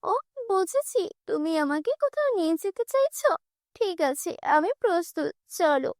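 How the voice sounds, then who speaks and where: Woman as a mistreated kind girl, extremely high pitch, humble, earnest, pleading, children's cartoon, dry recording. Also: big pitch swings, normal speed, darkish tone, dry recording, full character voice. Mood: gentle, curious. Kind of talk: children's fairy-tale cartoon dub.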